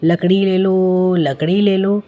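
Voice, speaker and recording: A woman's voice: on the low side, fairly even intonation, slow, dark tone. A woman voicing an earnest, naive young male woodcutter in a cartoon story. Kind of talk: Hindi cartoon story dubbing.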